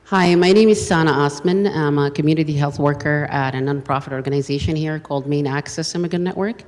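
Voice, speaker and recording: A woman from Sudan, a native Arabic speaker, introducing herself on a panel in a measured, plain way, holding a handheld microphone. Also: low-pitched, a little mellow. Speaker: community health worker, panelist. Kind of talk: self-introduction. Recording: hall reverb.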